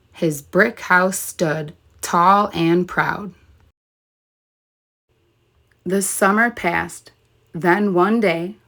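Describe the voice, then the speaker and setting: A woman's voice: lowish voice, a bit bright. A woman narrating a children's story expressively, like a teacher reading aloud.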